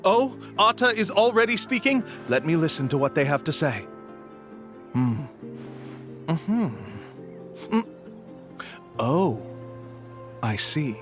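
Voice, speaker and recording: A smug male swindler posing as a tour guide in a video game. He talks in a slightly high, very animated voice, glib and sure of himself, with some music underneath. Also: slow pace, long pauses. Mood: showy, confident. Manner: glib, showy, self-assured. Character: smooth-talking scammer tour guide, male, smug and unflappable. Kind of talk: video game character acting.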